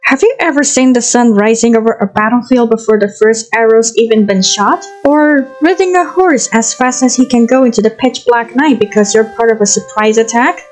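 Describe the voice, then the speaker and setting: A woman's voice: fairly high pitch, a little fast, hardly any pauses, close to an everyday voice, poor audio. A woman playing a brash, fun-loving young mercenary in a game fan dub, cheeky and bold. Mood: bold, persuasive. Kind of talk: fan dub voice acting of a fantasy story game. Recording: music behind the voice.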